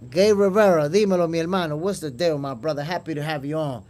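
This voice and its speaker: Boxing stream host: Dominican New Yorker, friendly and chatty, repeats himself, slightly high voice. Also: male voice, fast, a little mellow. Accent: New York. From Dominican Republic. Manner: chatty, calls viewers "my brother", repeats words. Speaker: boxing podcast host reading live chat. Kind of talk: casual livestream commentary.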